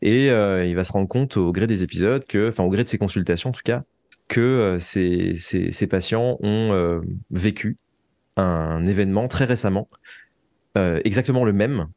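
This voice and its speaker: A man's voice: deep voice, normal speed, darkish tone, dry recording, clean studio sound. A man explains things casually as an interview guest, often hesitating and repeating words as he looks for the right phrase. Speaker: interview guest, writer of audio fiction.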